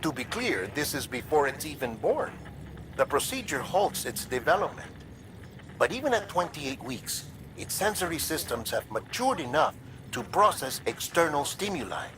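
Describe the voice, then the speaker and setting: A man's voice: a little high, very animated, normal speed, a bit bright, slight background noise, low-quality recording. Chatty explanatory man. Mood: explanatory, slightly defensive. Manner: explanatory, casually wry. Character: chatty, evasive male medical expert briefing a colleague. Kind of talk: conversational video game cutscene acting.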